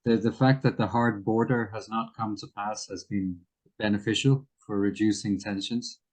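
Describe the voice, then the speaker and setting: Male interview guest, lower register, careful and halting, explains current affairs in conversation. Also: steady tone, darkish tone, poor audio.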